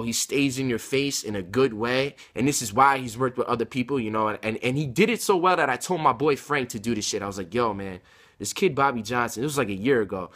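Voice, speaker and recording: A man in his twenties talks off the cuff in a home video blog. His delivery is slangy and emphatic, wandering from thought to thought at an ordinary mid-range pitch, picked up by a laptop microphone in a room at home. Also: fairly bright tone. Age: 20s. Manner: slangy, emphatic, rambling street-style talk. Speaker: rapper and video blogger. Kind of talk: casual vlog talk to camera. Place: home room with bookshelves, indoors. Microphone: laptop webcam mic at arm's length.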